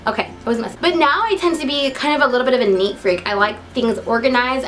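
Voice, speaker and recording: A young woman with an American accent chatting casually to camera as a vlogger, in a giggly, upbeat and talkative manner. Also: a little high. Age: young adult. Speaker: vlogger introducing herself.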